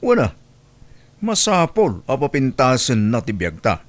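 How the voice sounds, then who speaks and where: Middle-aged father, Ilocano accent, animated pitch, questioning and self-satisfied, acted by a man. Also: a little slow, no room sound. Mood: complacent. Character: father eager for comforts paid by his child. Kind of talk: Ilocano audio drama acting.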